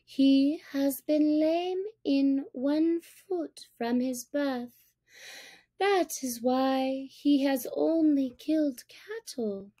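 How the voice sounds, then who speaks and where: A woman voicing a quiet, knowing mother wolf in a storybook, measured and dryly disapproving. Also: sits high, pitched above the performer's own voice. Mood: quiet concern.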